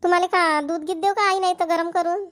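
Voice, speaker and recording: A woman's voice: extremely high pitch, fairly even intonation, quick pace, a bit bright, no room sound, studio quality. A woman voicing a caring young daughter-in-law in a family cartoon, coaxing and attentive, with a Varhadi Marathi accent. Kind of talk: cartoon family comedy voice acting.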